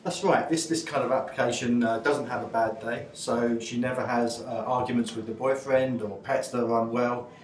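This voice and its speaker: A man's voice: mid-range voice, fairly even intonation, moderate pace, hardly any pauses, recorded from a distance. A man walking through a product demo in a large showroom, explaining loosely with uhs and restarts. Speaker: product demonstrator. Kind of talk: live product walkthrough. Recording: echoey showroom, off-mic.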